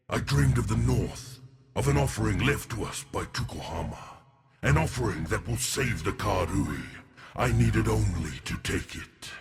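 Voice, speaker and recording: Heavily gravelled proud warlord. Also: male voice, medium pitch. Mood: solemn, haunted. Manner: solemn, portentous. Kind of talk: solemn first-person monologue for a game. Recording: prominent music under the voice. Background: music.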